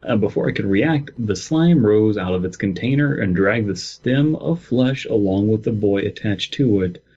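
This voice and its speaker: A man's voice: lowish voice, animated, moderate pace, dark tone, slightly rough, dull audio. A man hosting a comedy podcast, reading stories and joking in a dry, mock-formal way. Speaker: podcast host. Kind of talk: casual comedic chat and story reading.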